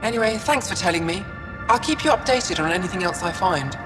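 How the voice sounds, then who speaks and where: Female actor, wry pointed game character, very lively intonation, reverberant, music behind. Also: on the low side, a little fast, lightly acted. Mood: resigned. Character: wry, indignant sister in a sci-fi adventure. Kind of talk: video game voice acting.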